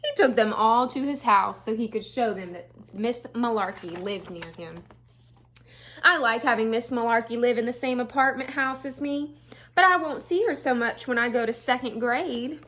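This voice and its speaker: A woman, an elementary school teacher, reading a storybook aloud to young children with expressive delivery and casual, chatty asides. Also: fairly high pitch, normal speed.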